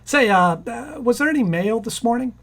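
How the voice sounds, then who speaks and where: An actor playing an exasperated young husband who works in a bank, in an old-time radio comedy. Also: male voice, extremely high pitch, expressive, moderate pace, fairly bright tone, full character voice. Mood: fussing.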